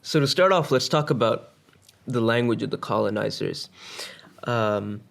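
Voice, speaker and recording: A man co-hosting a podcast discussion, explaining his points in a measured, lecture-like way. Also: mid-range voice, creaky. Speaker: podcast co-host. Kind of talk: casual panel discussion.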